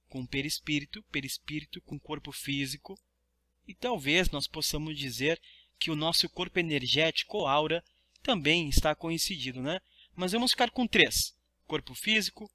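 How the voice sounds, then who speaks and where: A man's voice: a little high, bright, forceful tone, no echo. A Brazilian man teaching a study lesson in a didactic way, reading aloud and explaining. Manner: didactic, checks understanding with tag questions.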